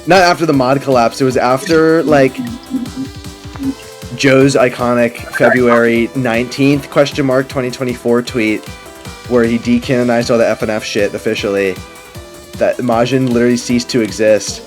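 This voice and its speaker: A young man hosts casual game commentary with friends. His voice sits at a middling pitch and he talks in rambling, enthusiastic fan fashion. Music plays softly behind him. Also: fairly even intonation, moderate pace. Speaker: gaming video host. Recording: background music under the voice.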